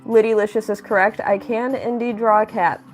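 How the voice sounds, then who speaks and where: A woman's voice: a little high, steady tone, moderate pace, faint music behind. A young woman livestreaming her drawing, chatting casually and upbeat, thanking viewers and reading their messages aloud. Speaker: livestreaming artist.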